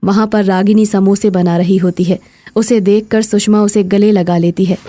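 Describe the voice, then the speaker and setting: मृदु स्वर वाली महिला कथावाचक. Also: female voice, medium pitch, steady tone, normal speed, warm tone. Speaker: narrator. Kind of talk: scripted story narration.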